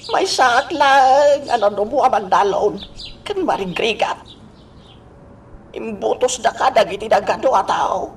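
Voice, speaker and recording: A man acts an old village elder, respectful yet brave. He speaks slowly in a creaky voice with an Ilocano accent, pleading earnestly over faint noise. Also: extremely high pitch, animated, heavily acted. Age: older. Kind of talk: Ilocano radio drama acting.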